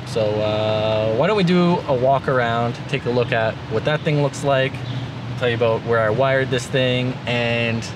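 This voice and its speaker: A man in his thirties giving a casual, laid-back but enthusiastic product walkthrough in colloquial language, as a gear vlogger. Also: mid-range voice. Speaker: vlogger demonstrating vehicle gear. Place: inside a parked jeep with open door, outdoors. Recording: clear background noise, vehicle ambience.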